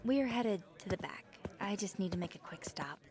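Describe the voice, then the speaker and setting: A woman's voice: mid-range voice, expressive, moderate pace, very noisy, lightly acted. A woman playing a nervous, apologetic young woman with water powers in an ASMR roleplay, stammering and slipping into whispered asides. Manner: drops into soft whispered asides.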